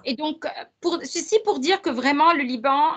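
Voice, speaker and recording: A woman's voice: high-pitched, moderate pace. A middle-aged woman, a guest academic speaking earnestly in a conversational interview, with frequent fillers and restarts. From Lebanon.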